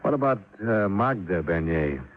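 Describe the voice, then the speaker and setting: An actor playing a terse, unflappable insurance investigator with an American accent, clipped and dry, in hard-boiled old radio style. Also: male voice, low-pitched, lively intonation, normal speed. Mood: brisk, probing. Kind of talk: hard-boiled 1950s radio drama acting and narration. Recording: muffled old radio recording, music behind.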